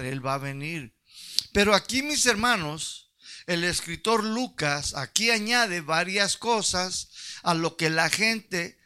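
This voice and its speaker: A man's voice: high-pitched, sharp tone. A Chicano man with a Chicano Spanish accent preaching to his church, folksy and asking the congregation questions. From Mexican-American. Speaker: pastor. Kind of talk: sermon.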